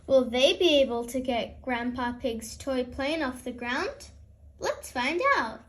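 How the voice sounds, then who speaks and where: A woman reading a children's storybook aloud as host, in an expressive storytelling style. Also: sits high, slow pace, a little hiss or hum.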